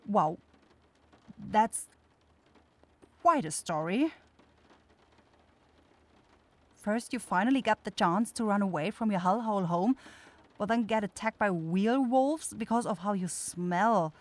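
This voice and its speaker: A woman's voice: medium pitch, a little slow, lightly acted. A woman playing a kind young forest witch in an ASMR fantasy roleplay, shy and caring, easily flustered into stammering. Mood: gentle, concerned.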